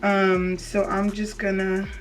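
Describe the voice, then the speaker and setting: A woman's voice: mid-range voice, monotone, slow pace. Young woman vlogger chatting over music. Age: young adult. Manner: informal, slangy, addresses viewers directly. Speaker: beauty vlogger. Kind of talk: casual chatty tutorial. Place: small indoor room with plain wall. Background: background music.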